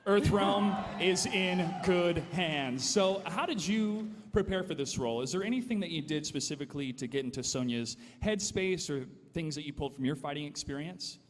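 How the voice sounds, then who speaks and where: A man's voice: a little high, moderate pace, hardly any pauses, a little hiss or hum. A man hosting a live event, announcing and interviewing in a showy, formal presenter's delivery. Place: large hall on stage. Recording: echoey hall, long reverb, faint music.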